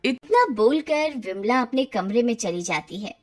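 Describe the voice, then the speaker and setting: Female horror story narrator, highish husky voice, scripted storytelling, music underneath. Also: animated, a little slow.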